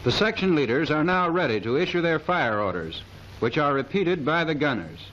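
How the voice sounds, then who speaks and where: A man's voice: a little high, very animated, normal speed, a bit bright. A man reading scripted military instructional narration in a formal, precise manner, in the style of a 1930s training film. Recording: dull, hissy 1930s film soundtrack.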